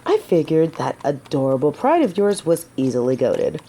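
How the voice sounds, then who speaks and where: A woman's voice: low voice, big pitch swings, moderate pace, mellow, slight background noise. A woman playing a gallant, teasing yet earnest female knight in a romantic fantasy audio drama, with formal, old-fashioned phrasing. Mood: playful, teasing.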